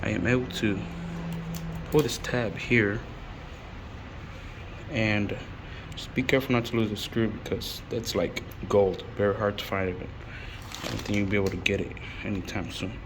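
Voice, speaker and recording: A man giving a casual, unscripted hands-on installation tutorial, speaking in a halting way with frequent pauses mid-sentence. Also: fairly low pitch, little pitch movement. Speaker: installer presenting a how-to. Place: on an installation job site. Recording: clearly noisy on-site recording.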